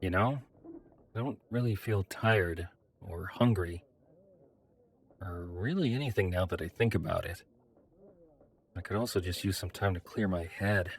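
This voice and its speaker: A man voicing a practical, considerate metal man adventurer in a fantasy audio drama, measured and polite. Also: low-pitched, slight background noise. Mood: reflective.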